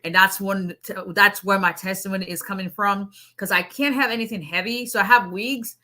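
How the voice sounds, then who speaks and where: Middle-aged woman with a Nigerian-Southern US accent, a lawyer on a casual live stream: bright, punchy tone, fast and chatty. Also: medium pitch, steady tone. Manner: fast, chatty, with frequent asides and laughs.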